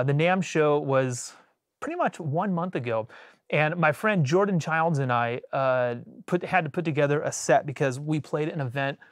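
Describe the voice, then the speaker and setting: A man hosting a casual livestream as a bassist, rambling with lots of fillers and restarts, his voice a little off after a cold. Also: no echo, studio quality. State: recovering from a cold.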